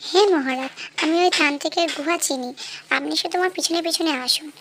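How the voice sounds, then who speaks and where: A woman voicing a gentle, polite little talking bird who is a cursed princess in a fairytale cartoon. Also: sits high, fast talker, slight background noise. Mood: helpful. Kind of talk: cartoon voice acting.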